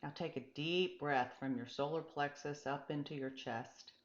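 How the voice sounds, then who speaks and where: Woman voicing a calm, low-voiced meditation guide, roomy sound. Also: a little slow, dull audio. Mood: soothing, guiding. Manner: gentle, reassuring, instructive. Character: calm, encouraging, patient meditation teacher and guide. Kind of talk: storybook character dialogue.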